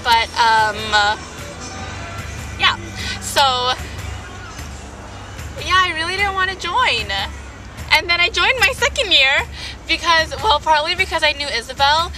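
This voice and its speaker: A woman's voice: very high voice, lively intonation, slow pace, pauses often, bright, forceful tone, distant mic, soft background music, some chatter behind, poor audio. A young woman, a college student, gives a casual farewell testimonial, hesitant and rambling with many fillers. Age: young adult. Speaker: college student club member.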